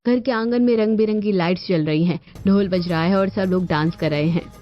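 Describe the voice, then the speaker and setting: A woman's voice: mid-range voice, fairly quick. A woman reading scripted narration for a cartoon family story. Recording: dull lo-fi audio with music behind.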